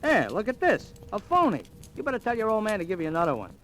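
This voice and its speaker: An actor playing a brash, wisecracking bookie in slangy, needling 1940s radio drama style. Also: male voice, sits high, huge pitch swings, fast, a bit bright, exaggerated voice. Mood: gleeful, cocky. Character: brash, wisecracking male bookie, fast-talking and cocky. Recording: old radio recording with music.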